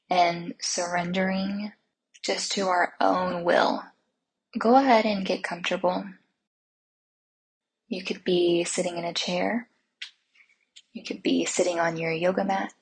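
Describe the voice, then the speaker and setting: Very breathy, slow woman guiding meditation. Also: on the low side, rough recording. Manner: soothing, unhurried, gentle instruction with long pauses. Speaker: guided meditation host.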